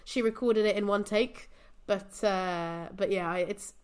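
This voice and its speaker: A woman's voice: medium pitch, steady tone, unhurried, darkish tone, clear, resonant tone. A woman from Portugal, chatting as a podcast guest and often breaking off to restart her sentences. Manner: chatty, self-interrupting, laughs often. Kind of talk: casual conversation.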